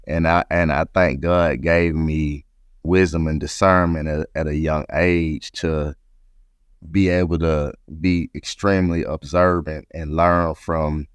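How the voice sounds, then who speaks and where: A man's voice: extremely low pitch, clear, resonant tone, no room sound. A man from Atlanta, Georgia, speaking as an interview guest and reflectively telling his own story. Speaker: interview guest, company president.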